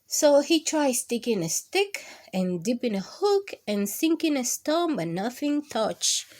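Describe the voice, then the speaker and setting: Woman, children's storytime host, slightly high voice, very unhurried pace, expressive read-aloud, emphatic drawn-out words. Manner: drawn-out, emphatic delivery addressed to small children.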